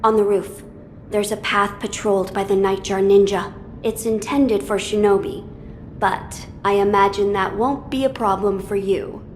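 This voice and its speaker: A woman playing a noble young boy lord in a video game, speaking formally, gently and deliberately. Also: mid-range voice, fairly even intonation, a little hiss or hum, lightly acted. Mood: earnest, resolute. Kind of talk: dramatic video game dialogue.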